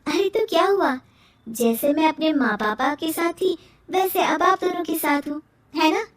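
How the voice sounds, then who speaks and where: A woman plays a sweet, dutiful young bride in a cartoon village tale. The voice is very high and deferential, echoing slightly far from the microphone. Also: a little slow. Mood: gentle. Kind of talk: animated moral story dialogue. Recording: roomy echo, distant from mic.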